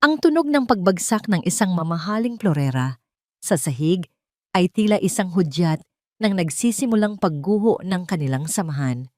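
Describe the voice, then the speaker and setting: A woman's voice: lowish voice, animated, normal speed, no echo, studio quality. A woman reading a scripted dramatic story as its narrator.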